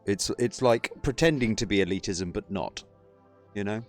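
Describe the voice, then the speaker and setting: A man's voice: lowish voice, moderate pace, faint music behind. A man hosting a casual podcast chat, sardonic and given to swearing, reading out chat comments.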